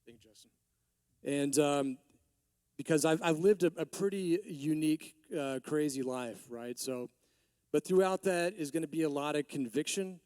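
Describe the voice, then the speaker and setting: A man in his forties from Texas speaks to a summit crowd in a rambling, restarting way, his voice a touch hoarse. His tone is dark and mellow, set a little high for a man. The sound is dry and close. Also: normal speed, dry recording. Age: 40s. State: hoarse from allergies. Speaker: business owner giving a talk. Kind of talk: conference speech.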